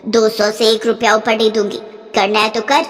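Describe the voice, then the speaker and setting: A woman's voice: a little high, level tone, moderate pace, long reverb tail, natural voice. A woman playing a stingy, scheming older mother-in-law who scolds and bosses her family. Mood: grumbling. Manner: scolding, penny-pinching, bossy.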